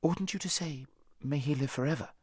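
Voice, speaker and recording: Man as a naive boy, raised pitch, lively, earnest, eager, storybook character. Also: brisk, gravelly, slightly rough, no echo, clean studio sound, full character voice. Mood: shocked.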